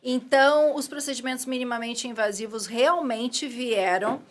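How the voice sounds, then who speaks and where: A woman's voice: a little high, moderate pace. A middle-aged woman from southern Brazil with a Gaúcho accent, speaking as a guest on an interview podcast, chatty and full of restarts. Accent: Gaúcho Brazilian Portuguese. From Rio Grande do Sul, Brazil. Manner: chatty, with frequent restarts and "né" tags. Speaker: guest, clinic owner. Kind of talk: casual interview talk.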